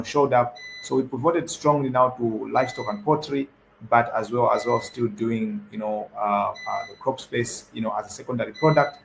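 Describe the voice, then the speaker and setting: Young man, mid-pitched, gravelly, hesitant panel guest with many fillers. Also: slight background noise, low-quality recording. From Zambia. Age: young adult. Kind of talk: panel discussion answer.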